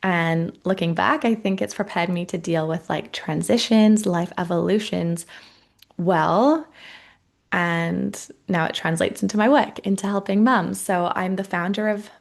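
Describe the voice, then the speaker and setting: Gravelly-voiced Welsh Canadian woman coach. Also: fairly low pitch, steady tone, measured pace. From Wales, raised in Canada. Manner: gentle, encouraging, with many likes and you knows. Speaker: motherhood coach and course founder. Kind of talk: casual masterclass talk to the viewer.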